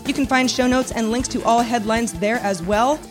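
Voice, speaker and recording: A woman hosting a news podcast, reading scripted headlines in a measured, matter-of-fact newsreader delivery. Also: a little high, over music.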